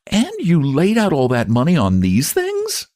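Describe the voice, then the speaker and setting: A man voicing a sharp, jealous country spinster with a drawling, snappish way of talking in a storybook reading. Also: fairly high pitch, wildly swooping pitch, measured pace, no echo, clean studio sound, voice pushed up. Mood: sniping. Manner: drawling, snappish rural speech. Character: Lottie, sharp, jealous, sniping spinster woman.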